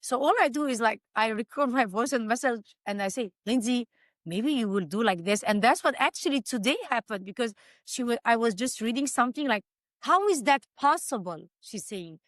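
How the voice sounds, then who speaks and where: A woman's voice: a little high, animated, normal speed, no echo. Armenian-accented woman, earnest, acts out lines while she explains, dry close sound. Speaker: author. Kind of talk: panel discussion.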